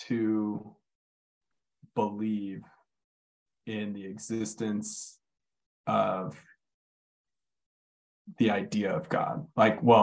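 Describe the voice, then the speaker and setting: Man, fairly low voice, reflective, searching for words, casual conversation. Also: creaky, rough recording.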